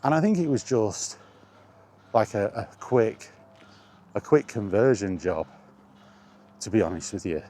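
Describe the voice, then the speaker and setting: A man's voice: fairly low pitch, sing-song, measured pace, long pauses, warm tone. A man talking in a casual, rambling monologue, reminiscing and thinking aloud as he goes. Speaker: host of a gaming chat vlog.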